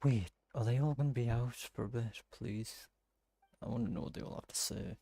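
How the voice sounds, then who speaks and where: A man's voice: medium pitch, normal speed, creaky, dark tone, no echo. A man giving casual game playthrough commentary, thinking aloud and correcting himself as he goes. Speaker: game streamer.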